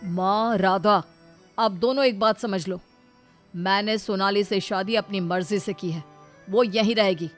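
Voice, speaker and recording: A woman's voice: mid-range voice, unhurried, natural voice. Domineering young husband for audio storybook, played by a woman: cold, curt, commanding, music underneath.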